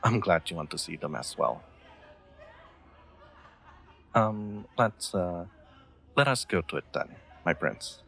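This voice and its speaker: Man acting an awkward, low-voiced young knight. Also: lively intonation, unhurried, creaky, fairly bright tone, exaggerated voice. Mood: bashful, hopeful. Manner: hesitant, stammering, nervous laughs, formal courtly wording. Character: awkward, sweet, oblivious young male royal knight. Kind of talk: intimate scripted roleplay audio drama.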